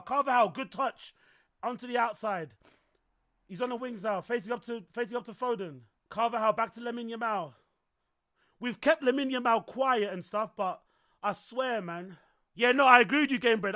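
A young man with a very high voice, hoarse from shouting, reacting to a football match live on stream. He calls the action and argues about tactics in a loose, excitable, sweary way. He talks into a headset in a small room. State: voice going hoarse. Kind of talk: live watch-along commentary.

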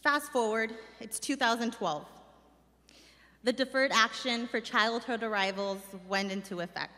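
A woman's voice: a little high, unhurried, sharp tone. Young woman reading a speech on a hall stage. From Mexico. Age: young adult. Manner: earnest, reading from notes with some restarts. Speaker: community organizer. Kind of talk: reading a personal speech at a summit. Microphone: stand mic. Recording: stage mic with long hall reverb.

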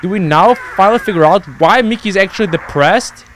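A man's voice: sits high, fairly quick, a bit bright, slight background noise. A young man giving casual, exclamatory, sweary reaction commentary into a close desk microphone in a home gaming room. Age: young adult. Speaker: YouTube reaction host. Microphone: close desk microphone on boom arm.

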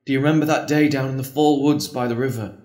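An actor playing an earnest, pleading priest torn by an old love in an audio drama. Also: male voice, mid-range voice, fast talker, darkish tone, natural voice. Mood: tender, earnest. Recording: roomy, echoing space.

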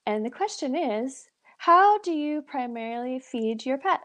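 A woman's voice: high voice, animated, measured pace, fairly bright tone. A young woman presenting a live pet nutrition webinar over a video call, upbeat and encouraging, with lots of "so" and "awesome". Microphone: webcam on a video call.

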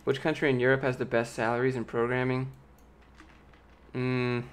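A young man in his late twenties talks in a loose, rambling way, reading viewer questions aloud and answering them as he goes. His voice is light and boyish and now and then squeaks. He sits close to a big studio microphone in a small home office. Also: completely flat delivery, normal speed, clear, resonant tone. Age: late 20s. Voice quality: youthful, light voice that sometimes squeaks. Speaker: streamer answering chat. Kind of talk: casual live-stream chat. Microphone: large studio mic with pop filter, close.

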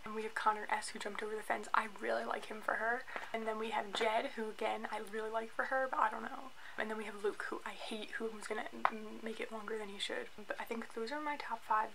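A young American woman talks casually to camera as a vlogger. Her voice sits fairly high and has a lot of fry in it. She is chatty and opinionated, with some faint noise in the home recording. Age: 20s. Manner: opinionated, animated, filler-heavy with "like". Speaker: YouTube vlogger reviewing a reality show. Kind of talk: casual chatty vlog commentary. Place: small indoor room at home, by a bookshelf.

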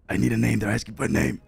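A man chatting casually during roleplay, excitable, laughing and swearing. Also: on the low side, vocal fry, husky, no room sound. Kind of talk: casual roleplay chat on a stream.

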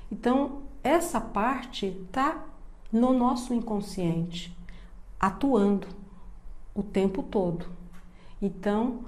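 A woman in her fifties from Brazil, a psychologist giving a calm, measured online lecture to camera from a room at home. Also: mid-range voice. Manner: slow, measured, explaining step by step. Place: indoor room with plants and a painting behind. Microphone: webcam framing, seated at desk.

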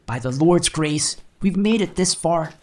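A man's voice: fairly high pitch, lively intonation, unhurried, darkish tone, voice pushed up. A man voicing an earnest, caring boy hero in a storybook. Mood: concerned.

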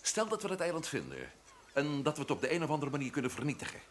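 A man playing an inquisitive investigator in an old radio play, asking brisk questions. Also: a little high, expressive, slight background noise, natural voice. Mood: earnest. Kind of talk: 1950s-style radio drama acting.

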